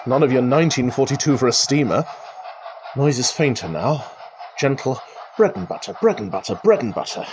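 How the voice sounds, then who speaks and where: An actor playing a chatty, wry country rambler who thinks aloud, musing and exclaiming in old-fashioned idiom, in a radio drama monologue. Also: male voice, medium pitch, a little mellow, slight background noise. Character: chatty male country rambler talking to himself, wry and excitable.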